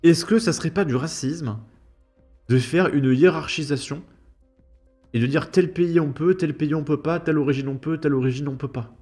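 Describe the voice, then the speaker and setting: A man's voice: fairly high pitch, moderate pace, darkish tone, faint music behind. A man giving an opinion commentary, indignant and full of rhetorical questions. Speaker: YouTube commentator.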